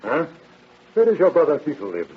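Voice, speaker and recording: Old-time radio police detective, skeptical n pushy, raspy husky voice, dull recording. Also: male voice, on the high side, wildly swooping pitch, brisk, exaggerated voice. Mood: pressing. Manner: pressing, wry, interrogating. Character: brisk, skeptical, confident male police detective. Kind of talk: 1930s radio drama acting.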